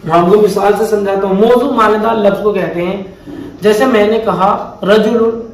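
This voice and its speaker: A high-voiced man teaches a step-by-step grammar lesson, going back over each definition patiently. He sounds a little away from the mic in a very echoey room, with a faint hum behind him. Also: steady tone. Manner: patient, repeats key definitions slowly. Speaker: Arabic teacher. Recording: echoey room heard from a distance.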